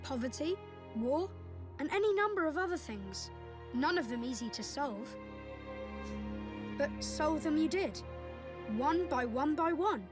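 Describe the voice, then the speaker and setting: A high, youthful boy prince, gentle and wise beyond his years. He explains things with measured earnestness, as in a game cutscene, with orchestral music playing behind him. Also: expressive. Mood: earnest, calm. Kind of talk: video game cutscene acting.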